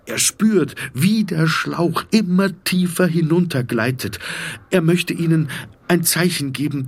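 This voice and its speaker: A man narrating a literary audio drama in an introspective, dramatic way with deliberate phrasing. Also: fairly high pitch, slightly rough.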